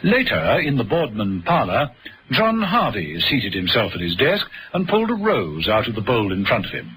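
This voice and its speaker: Bright, animated male narrator. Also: lowish voice, moderate pace, slight background noise, poor audio. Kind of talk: scripted dramatic narration.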